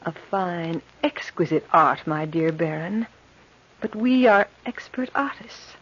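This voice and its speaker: Spooky fate spinner spirit, slow creepy murmur, old radio horror, muffled sound. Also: female voice, on the low side, lively intonation, a bit bright, exaggerated voice. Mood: sly, proud. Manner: measured, ominous, breathy murmuring. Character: eerie, serene female supernatural spinner of human fates. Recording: dull old radio recording.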